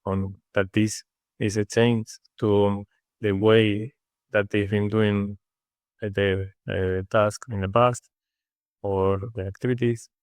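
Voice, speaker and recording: A man from Spain with a Spanish accent, answering interview questions in a halting way with many fillers and restarts. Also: fairly low pitch, little pitch movement, no echo. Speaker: interview guest. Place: studio. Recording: dry studio sound.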